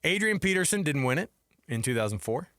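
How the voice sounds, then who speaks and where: A man's voice: a little high, sing-song, fast talker, a bit bright, no room sound, clean studio sound. An American man co-hosting a sports radio show with casual, chatty, colloquial banter. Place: radio studio. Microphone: close studio microphone.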